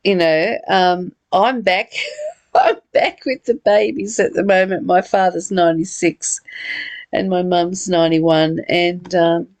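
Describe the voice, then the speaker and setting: A woman's voice: fairly low pitch, steady tone, slow pace, darkish tone, no room sound. A middle-aged woman talking reflectively and personally in a conversational study discussion. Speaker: study group leader.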